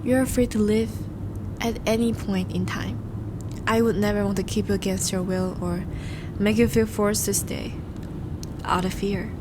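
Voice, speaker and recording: A woman playing a charming, once-noble vampire in a romantic audio roleplay, speaking formally and intimately to the listener, with a soft, hesitant manner. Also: medium pitch, fairly even intonation, moderate pace, background noise, lightly acted. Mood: earnest, apologetic. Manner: formal, courteous, hesitant, soft-spoken confessions.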